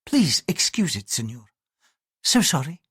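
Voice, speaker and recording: A man's voice: fairly high pitch, very animated, a little slow, a bit bright, slightly rough, dry recording, studio quality, heavily acted. A man voicing a formal, polite Japanese noblewoman who speaks with strained courtesy. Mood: pleading.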